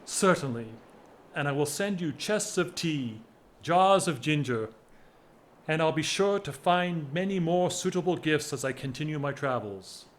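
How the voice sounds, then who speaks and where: An actor playing a weary, lovelorn upper-class gentleman in a period audio drama, speaking with formal, wistful wryness. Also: fairly high pitch, animated. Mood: restrained, wistful. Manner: formal, wistful, wry, measured speech. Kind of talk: stage-style period radio drama acting.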